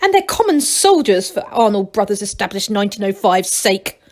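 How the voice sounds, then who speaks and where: A woman's voice: mid-range voice, very animated, normal speed, a little mellow, close to an everyday voice. A woman voicing a stubborn, disapproving female gnome in a storybook, protesting indignantly.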